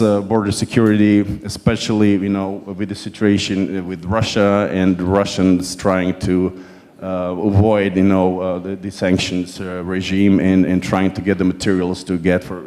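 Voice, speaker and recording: A man with a Croatian accent chairs a conference panel, giving formal opening remarks in a halting, hesitant way and often repeating words. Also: low voice, little pitch movement, darkish tone. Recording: echoey hall with long reverb.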